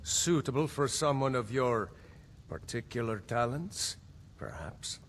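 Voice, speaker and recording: Commanding Nordic jarl, faint ambience. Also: male voice, mid-range voice, very animated, background noise. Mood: stately, approving. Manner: authoritative, stately, deliberate. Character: commanding, proud Nordic jarl, decisive ruler of a hold. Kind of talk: fantasy video game voice acting.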